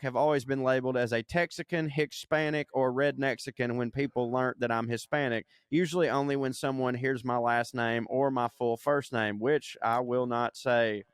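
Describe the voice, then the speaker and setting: Podcast co-host, a man, reading listener mail with a smooth voice and a dry close sound, profane and jokey. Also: medium pitch, dry recording. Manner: profane, laughing, reads aloud with asides. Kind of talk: casual chat reading listener email.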